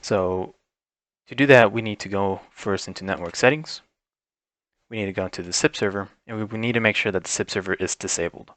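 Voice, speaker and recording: Male tutorial narrator, deep voice, informal and explanatory, talking through software setup step by step in a dry, close recording. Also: brisk, no room sound. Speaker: tech tutorial instructor. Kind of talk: step-by-step software walkthrough, unscripted.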